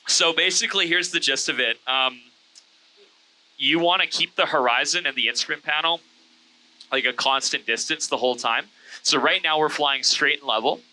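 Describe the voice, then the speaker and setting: A man's voice: a little high, normal speed, pushed and bright, poor audio. A man, a host and pilot, chatting casually and explaining things in an easygoing, informal way. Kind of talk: casual chat and hands-on instruction. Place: small airplane cockpit in flight.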